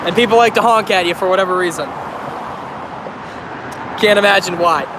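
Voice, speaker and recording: A high-pitched man talks casually to his chat on a phone stream, his voice a bit off from a cold. He stumbles, restarts and corrects himself as he goes. Loud highway traffic and wind roar behind him outdoors. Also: fairly quick. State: recovering from a cold, coughing. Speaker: railfan livestreamer. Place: outdoors on a bridge by a busy highway. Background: heavy traffic and wind.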